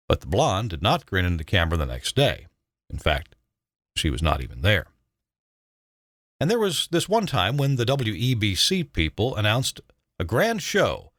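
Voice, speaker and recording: An older man, a retired broadcast engineer, narrating a scripted memoir in a measured, dramatic way with emphatic stresses. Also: deep voice, a bit bright, dry recording, clean studio sound.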